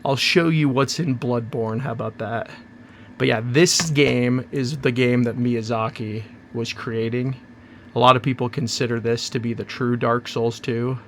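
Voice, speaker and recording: A man hosting his own channel, chatting casually and rambling through an unboxing, hoarse from a cold. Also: mid-range voice, steady tone, a little slow, a little hiss or hum. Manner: rambling, chatty, self-interrupting. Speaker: channel host.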